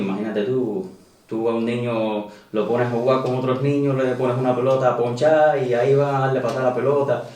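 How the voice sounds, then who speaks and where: A Cuban man in his thirties with a Cuban Spanish accent, talking casually as an interview guest and filling pauses with "eh". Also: fairly low pitch, a little mellow, distant mic. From Cuba. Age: 30s. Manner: conversational, many "eh" fillers and restarts. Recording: roomy, echoey, voice away from mic.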